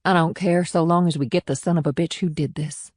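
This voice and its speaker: A man's voice: on the high side, fairly even intonation, brisk, darkish tone, no echo, studio quality, voice pushed up, natural voice. A man voicing a tough, determined female police sergeant in an audiobook, with a firm, no-nonsense manner. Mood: firm, commanding.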